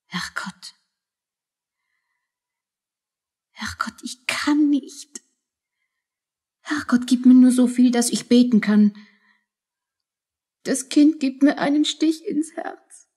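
A woman's voice: sits high, slow, pauses often, warm tone, husky, full character voice. A woman playing a guilt-stricken, desperate young mother in a radio play, solemn and anguished in her delivery. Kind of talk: German radio play acting.

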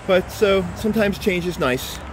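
A middle-aged man talking to a handheld camera at arm's length as he walks a city street, in a relaxed, rambling way. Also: high-pitched, moderate pace. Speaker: photography vlogger. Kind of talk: casual walking vlog. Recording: outdoor street noise.

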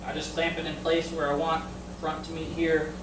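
A man's voice: on the high side, normal speed, far from the mic, noisy background. A middle-aged man casually explaining a woodworking build in his garage workshop, with plenty of ums. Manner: relaxed, explanatory, frequent ums. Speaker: DIY vlogger. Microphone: camera mic from a distance. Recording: echoey garage, off-mic.

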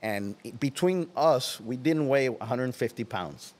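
An older man from Puerto Rico, speaking English with a Puerto Rican Spanish accent, tells stories as an interview guest in a relaxed way, often trailing off into soft asides. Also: medium pitch, a little slow, long reverb tail. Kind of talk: casual interview. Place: large watersports shop, indoors. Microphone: headset.

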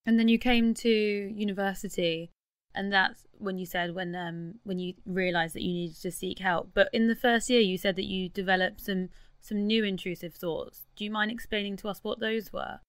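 A woman interviews a guest on a podcast. Her questions are calm and brief. Her voice sits mid-range and the sound is close and dry. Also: medium pitch, normal speed, clean tone, dry recording. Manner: calm, brief prompts and backchannels.

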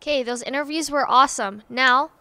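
A woman's voice: high voice, brisk, bright, forceful tone, a little hiss or hum. A teenage young woman hosting a student news show, speaking in a scripted presenter style into a handheld microphone. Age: teens. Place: indoor room at a desk. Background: background music.

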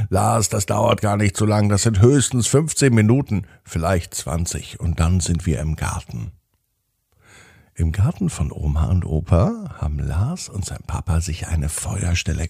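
A man hosts a children's podcast, narrating a bedtime story expressively with drawn-out phrases. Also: low-pitched, vocal fry, warm tone, breathy edge, no room sound, clean studio sound.

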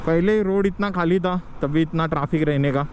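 A man's voice: on the high side, darkish tone. A young man, chatting casually as he rides a motorbike and laughing often. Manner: chatty, slow, mixes English in, laughs often. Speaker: motovlogger. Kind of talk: casual helmet-cam ride commentary. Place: outdoors on a motorbike in city traffic. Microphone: helmet-mounted action camera. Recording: wind and traffic noise.

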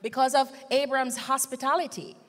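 A woman's voice: sits high, fairly even intonation, fairly quick. A middle-aged woman hosting a Bible study panel, speaking emphatically into a handheld microphone. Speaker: Sabbath school host. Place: large church stage, indoors. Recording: reverberant church hall sound.

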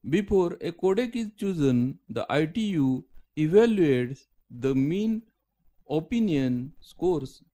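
A man narrating a scripted technical lecture as the lecturer, with halting, deliberate phrasing. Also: a little high, expressive, warm tone.

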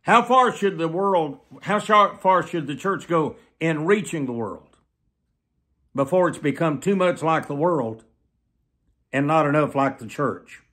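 Slow-paced elderly preacher man. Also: fairly high pitch, expressive, a bit bright. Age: 70s. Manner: emphatic, deliberate, repeats phrases. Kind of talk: sermon to camera. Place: small plain room indoors.

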